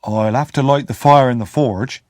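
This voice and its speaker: A man voicing a kind, gentle blacksmith who speaks softly and plainly. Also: medium pitch, normal speed, no room sound, studio quality, natural voice.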